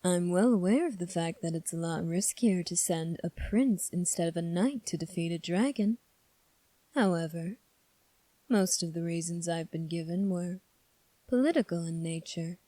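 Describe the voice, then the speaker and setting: A woman playing a calm, sly young prince in an ASMR roleplay, speaking in a measured, formal, teasing way. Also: fairly low pitch, no room sound, natural voice. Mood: coolly probing. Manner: measured, formal, coolly probing. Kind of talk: ASMR roleplay audio drama.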